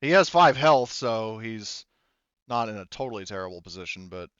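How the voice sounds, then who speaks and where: A man acts as game master in a casual tabletop session. His voice is on the deep side and clear, and he talks informally, reasoning aloud and swearing now and then. The sound is dry and slightly dull. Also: animated, normal speed, a bit bright, no echo.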